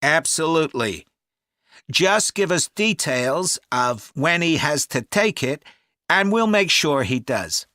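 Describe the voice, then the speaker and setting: An actor playing a helpful, organised man on a children's camp staff, explaining clearly and deliberately in a listening-test dialogue. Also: on the high side, sing-song, pushed and bright, no echo, clean studio sound, exaggerated voice. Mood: helpful, businesslike. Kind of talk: acted informational phone-enquiry dialogue for a listening test.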